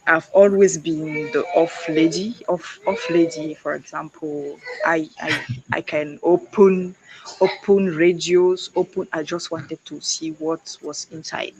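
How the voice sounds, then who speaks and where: A young woman from Cameroon, a guest speaker on an online panel, talking haltingly with many fillers and restarts. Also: low-pitched, fairly even intonation, a little mellow. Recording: noisy background.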